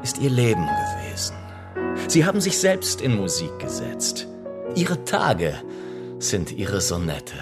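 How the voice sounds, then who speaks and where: An actor playing a worldly, cynical middle-aged gentleman in a German radio drama, speaking in an urbane, patronising way full of aphorisms. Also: low-pitched, wildly swooping pitch, slow pace, loud music behind the voice, full character voice. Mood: wistful admiring.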